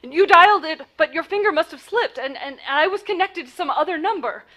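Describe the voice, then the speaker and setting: A woman's voice: very high voice, lively intonation, a little fast, pushed and bright, heavily acted. An actress playing a frantic, imperious married woman in a 1940s style radio play, stammering and indignant. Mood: indignant, insistent. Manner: stammering, breathless, insistent, indignant.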